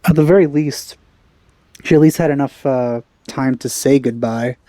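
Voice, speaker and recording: A man's voice: medium pitch, moderate pace, warm tone, dry recording. A man giving casual, understated reaction commentary as a let's player.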